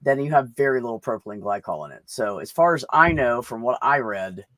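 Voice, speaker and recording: A man's voice: mid-range voice, expressive, fairly quick, fairly bright tone, no room sound. A man in a casual group chat, talking bluntly and informally with plenty of swearing.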